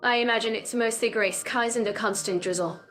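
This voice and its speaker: A woman co-hosting a podcast in an upbeat, bubbly way, her voice sitting a little high. She sounds scripted and chatty, with soft music playing faintly behind her. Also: fairly even intonation, brisk. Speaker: podcast co-host.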